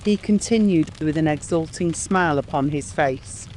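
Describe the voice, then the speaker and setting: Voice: mid-range voice, moderate pace, a little mellow, a little hiss or hum, rough recording. A narrator reading a scripted audiobook story.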